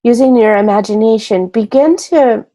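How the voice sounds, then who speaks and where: A woman leading a guided meditation in a gentle, soothing way, unhurried and pausing between short phrases. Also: fairly high pitch.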